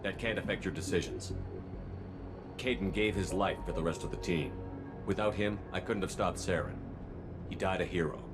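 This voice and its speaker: A man's voice: low voice, moderate pace, pauses often, over music, natural voice. A man playing a tough, no-nonsense military commander in a video game cutscene, blunt and demanding. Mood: resolute, sober.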